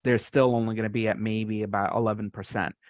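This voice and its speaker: A man's voice: fairly low pitch, flat delivery, fast talker, dry recording. A man casually explaining something technical on a group call, with a polite, tentative manner. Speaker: participant in a software community call. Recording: dull, band-limited online call audio.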